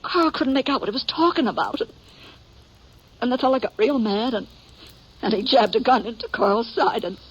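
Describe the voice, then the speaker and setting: A woman playing a grieving middle-aged American frontier widow in a 1950s radio drama, halting and distraught as she repeats herself. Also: on the high side, slightly rough. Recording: dull, noisy 1950s radio recording.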